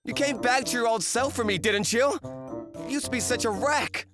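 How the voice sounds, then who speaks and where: A man's voice: very high-pitched, moderate pace. A man playing a self-pitying, money-obsessed husband in a manga dub, indignant and pleading, with music behind him. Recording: music bed under the voice.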